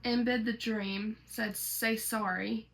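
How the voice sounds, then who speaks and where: A woman's voice: fairly high pitch, steady tone, slow pace, vocal fry, a bit bright, low-quality recording. A woman reading a horror story aloud as host, slipping between dramatic reading and casual commentary.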